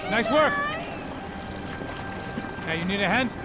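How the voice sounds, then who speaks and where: Friendly excitable bodyguard partner, video game dialogue, highish pitch, very animated, chummy, loud music. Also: male voice, takes it slowly. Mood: brisk, friendly. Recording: loud music and crowd noise.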